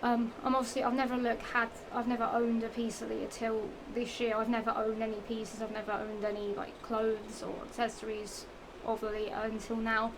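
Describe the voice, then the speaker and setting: A woman's voice: a little high, monotone, fairly quick, hardly any pauses. Rambling young woman with a Norfolk accent. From England. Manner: rambling, self-repeating, giggly, with many fillers and restarts. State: slightly strained voice from the heat. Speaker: vlogger. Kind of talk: casual chatty product show-and-tell. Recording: noisy home recording.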